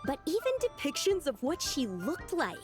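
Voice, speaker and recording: An earnest young woman scholar from a video game, candid and determined. Her bright-hearted, explanatory delivery sits fairly high and is lively in pitch, with music behind it. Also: moderate pace. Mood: earnest explaining.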